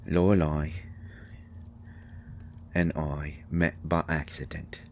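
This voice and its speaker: An actor playing a grieving, gruff and defensive fairy husband in a roleplay audio drama, speaking haltingly and with raw emotion. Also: male voice, rumbling low, level tone, a little hiss or hum. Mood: wistful, grieving. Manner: halting, emotional, swinging from snappish outbursts to quiet murmurs.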